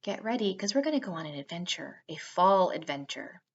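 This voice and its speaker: A woman narrating a guided meditation for children in a soothing, unhurried way with drawn-out phrasing. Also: lowish voice, very animated, breathy edge, muffled recording.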